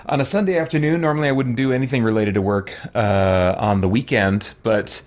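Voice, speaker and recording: A man's voice: mid-range voice, brisk. A middle-aged man giving casual, conversational let's play commentary as a gaming streamer, rambling and thinking aloud.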